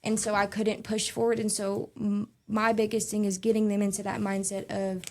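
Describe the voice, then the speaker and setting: Young woman, earnest, chatty, lots of fillers, interview guest, middle pitch. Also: level tone, a little mellow. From Texas. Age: young adult. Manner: earnest, quick, many fillers and restarts. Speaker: guest, youth advocate. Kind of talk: casual interview conversation.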